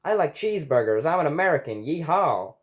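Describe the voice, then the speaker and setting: A man voicing brash, astonished American citizens in a comic storybook, loud and exclamatory. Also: on the high side, very animated, brisk, pitched above the performer's own voice.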